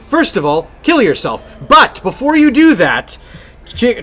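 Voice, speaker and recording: A man co-hosting a casual live podcast, delivering plugs and banter like a playful mock announcer. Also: high voice. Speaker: podcast co-host.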